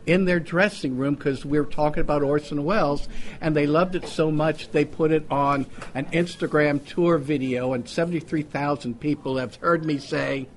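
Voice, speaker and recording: A man's voice: on the high side, steady tone, normal speed, slight background noise. A man doing casual live stage banter as an amateur entertainer, showy and given to repeating his phrases. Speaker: amateur entertainer at a club luncheon. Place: restaurant banquet room. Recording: live in a busy crowded room. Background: chattering luncheon crowd.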